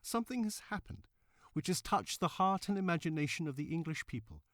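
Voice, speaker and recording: A man voicing a cultivated, impassioned suffragist woman orator of the 1900s in formal, emphatic, measured speech. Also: on the high side, lively intonation, fairly bright tone, no echo, clean studio sound, pitched above the performer's own voice. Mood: earnest, solemn. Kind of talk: dramatic reading of historical speech.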